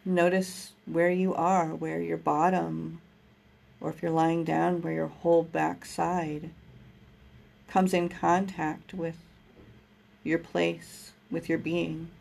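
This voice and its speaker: A woman guiding a breathing meditation, speaking in a slow, soothing, gentle way. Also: deep voice, level tone, vocal fry. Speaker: meditation guide.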